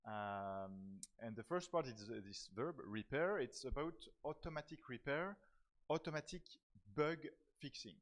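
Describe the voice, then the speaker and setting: A man's voice: medium pitch, expressive, a little slow, fairly bright tone. Hesitant French-born male professor giving a talk. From France. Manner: hesitant, repeats words and uses many fillers. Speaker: professor of software technology. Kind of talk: conference talk. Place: conference hall.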